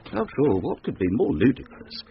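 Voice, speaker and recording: An actor playing a lovestruck, restless schoolmaster musing to himself with wry irony in a radio drama. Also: male voice, medium pitch, wildly swooping pitch, fairly quick, gravelly. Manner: musing, ironic, clipped exclamations. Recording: muffled, noisy old radio recording.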